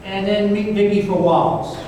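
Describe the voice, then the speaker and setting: Radio play detective, played by a man: high voice, wry and conversational, heard far from the mic in a reverberant hall. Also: steady tone, normal speed, mellow, lightly acted. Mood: wry, urgent. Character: wisecracking male detective recounting a supernatural gunfight. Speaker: actor in a staged radio play. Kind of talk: old-time radio drama storytelling. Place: live performance in a reverberant hall. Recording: very echoey hall, distant mic, faint noise.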